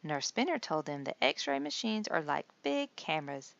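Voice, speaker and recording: A woman's voice: low-pitched, big pitch swings, moderate pace, vocal fry, dull audio. A woman narrating a children's storybook as a read-aloud. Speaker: storybook reader.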